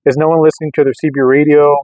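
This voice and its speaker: A man casually rambling through a hobby product test in a hesitant, halting way, often repeating words. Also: a little high, flat delivery, darkish tone, no room sound. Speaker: radio hobbyist vlogger. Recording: narrow, phone-like, lo-fi sound.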